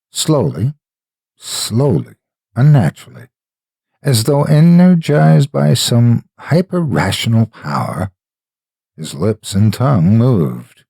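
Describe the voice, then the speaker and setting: Man, horror storyteller, raspy, dry close sound, theatrical, deliberate phrasing. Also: lowish voice, dark tone, dry recording. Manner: deliberate, dramatic storytelling with measured pauses. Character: a wealthy, cold, calculating gambler narrating his own experiment. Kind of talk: dramatic scripted narration.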